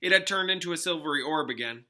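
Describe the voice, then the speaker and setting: A man narrates a storybook with expression, as a teacher reading to a class would. His voice is very bright and forceful, pitched slightly high. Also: animated, fast talker. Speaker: teacher reading a novel aloud.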